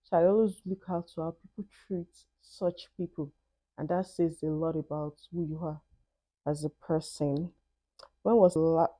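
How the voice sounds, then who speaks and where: A woman's voice: on the low side, mellow, dry recording, low-quality recording. A young Nigerian woman with a Nigerian accent, casually reading out questions and answering them in a vlog, often adding "I mean". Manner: thoughtful, conversational, with "I mean" asides. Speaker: vlogger.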